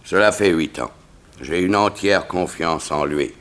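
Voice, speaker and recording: Casting: stately Excellency, very low voice, formal and measured, 1960s radio drama. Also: male voice, a bit bright. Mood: grave, formal. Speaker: actor in a detective radio serial.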